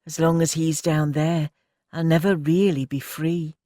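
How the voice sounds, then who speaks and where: A man's voice: fairly high pitch, little pitch movement, a little slow, warm tone, dry recording, studio quality, natural voice. A man voicing a troubled young woman who talks shakily but emphatically. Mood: resolute. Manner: emphatic, shaky.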